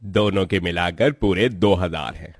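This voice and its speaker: A kindly older merchant played by a man with a fairly low voice. He talks like a fatherly boss in a cartoon fable, recorded close and dry with music underneath. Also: normal speed, breathy edge, no echo. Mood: fatherly, approving. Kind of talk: moral-story cartoon voice acting.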